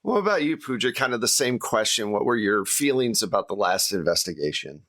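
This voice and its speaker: A man's voice: lowish voice, very animated, a little fast, a bit bright, no echo, clean studio sound. A man hosting a casual debrief of a tabletop game, talking in a rambling, conversational way and putting questions to the players. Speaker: host and game master of a tabletop actual-play show.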